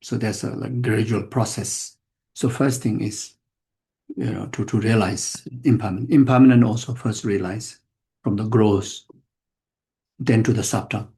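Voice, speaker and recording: An older man gives a dharma talk in a gravelly, mellow voice. He speaks in halting fragments, circling back with repeated words and restarted phrases. His tone stays plain and reflective, with a self-mocking edge. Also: lowish voice, fairly even intonation, rough recording. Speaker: Buddhist teacher. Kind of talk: dharma teaching to an audience.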